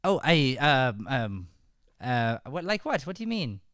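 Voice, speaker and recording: A man's voice: mid-range voice, big pitch swings, a little slow, fairly bright tone, dry recording, clean studio sound. A man playing a shifty, pleading Irish schoolteacher. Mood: flustered. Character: shifty, pleading male schoolteacher, nervous and evasive. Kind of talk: improvised old-time radio comedy acting.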